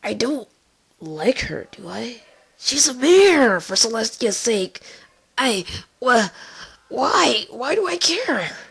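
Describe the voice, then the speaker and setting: A woman voicing a guarded young female pony in a fan story reading, blunt, deadpan and husky. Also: fairly high pitch, slow, full character voice. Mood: confused, troubled. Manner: terse, deadpan, matter-of-fact.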